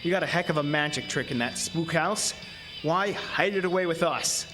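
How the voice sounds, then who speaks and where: A man acts out a troubled carnival show man in a horror audio drama. His slightly high voice is intense and halting, weary and wary, breaking off mid-thought. Music plays clearly behind him. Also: natural voice, rough recording. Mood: wary, challenging. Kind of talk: audio drama acting with first-person narration.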